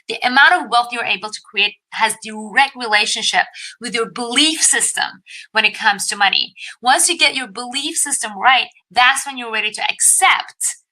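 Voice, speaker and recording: A woman's voice: a little high, pushed and bright, low-quality recording. A woman from Iran hosting an investing webinar, telling her personal story to viewers in an upbeat presenting style.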